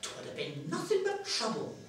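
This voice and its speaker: A man's voice: extremely high pitch, wildly swooping pitch, normal speed, pitched above the performer's own voice, full character voice. A man voicing a weary but cheerful old Dartmoor washerwoman, in a storytelling performance. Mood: cheerfully accepting. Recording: very echoey room, distant mic, faint noise.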